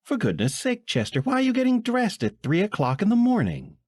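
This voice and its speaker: A shrill, frantic suburban wife whose voice climbs to a shriek as she pleads, put on by a male narrator. Very high and fast, with the pitch leaping all over. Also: huge pitch swings, a little mellow, no echo, studio quality, full character voice. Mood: bewildered.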